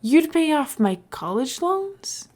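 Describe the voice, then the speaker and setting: A woman's voice: sits high, big pitch swings, slow, darkish tone, pitched above the performer's own voice, exaggerated voice. A woman reading the part of a wary, overwhelmed young man in a story, hesitant and informal. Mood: stunned.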